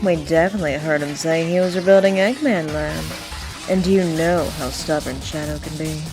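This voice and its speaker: A woman's voice: deep voice, normal speed, over music, natural voice, low-quality recording. A woman voicing a cool, no-nonsense cartoon agent with a curt, sassy manner in a comic dub. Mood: skeptical. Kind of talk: animated comic dub acting.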